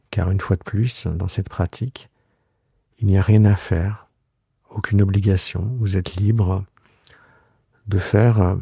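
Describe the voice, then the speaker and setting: A man guiding a yoga relaxation meditation in a soothing, unhurried way, leaving long pauses between short phrases. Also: low-pitched, creaky, dark tone, slightly rough, dry recording, studio quality. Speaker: yoga nidra guide and host. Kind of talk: guided relaxation meditation, improvised.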